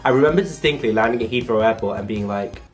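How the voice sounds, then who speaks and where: A man's voice: fairly low pitch, fast, soft background music. A young man from England talking casually to camera in a vlog, chatty and full of "like" fillers. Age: young adult. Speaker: vlogger.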